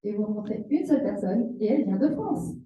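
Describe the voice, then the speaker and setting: A woman's voice: medium pitch, sing-song, fairly quick, mellow. A woman interpreting a seminar live, phrase by phrase, expressively echoing the examples and sometimes correcting herself. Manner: echoes the speaker's examples expressively, with self-corrections. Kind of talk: consecutive interpreting of a seminar talk. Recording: roomy, slightly dull sound.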